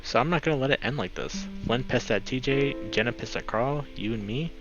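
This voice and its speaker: A man's voice: mid-range voice, a little fast, close to an everyday voice. A man voicing a hesitant, earnest young male wolf, a brotherly visual novel character weighed down by guilt. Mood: resolved. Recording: slightly dull mic, music behind.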